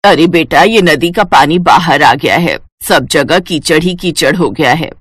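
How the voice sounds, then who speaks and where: A woman's voice: low voice, animated, measured pace, breathy edge, voice pushed down, exaggerated voice. A woman voicing a cranky older mother-in-law in a cartoon story, wailing, scolding and complaining. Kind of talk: cartoon moral story acting.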